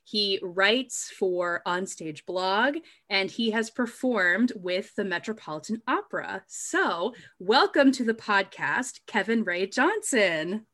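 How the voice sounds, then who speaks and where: Effusive woman podcast host, mid-pitched. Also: animated, slow, few pauses, a bit bright. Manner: effusive and welcoming. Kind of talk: podcast interview.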